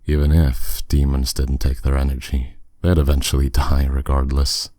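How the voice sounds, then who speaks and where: A man playing a powerful, courteous demon captor in an ASMR roleplay, speaking with smooth, teasing, condescending authority. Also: extremely low pitch, completely flat delivery, mellow, dry recording, clean studio sound. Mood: calm, matter-of-fact. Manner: smooth, condescending, unhurried, with endearments.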